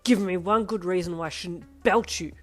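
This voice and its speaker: A woman's voice: lowish voice, moderate pace, faint music behind, lightly acted. A woman voicing an angry middle-aged father who bellows threats. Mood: furious.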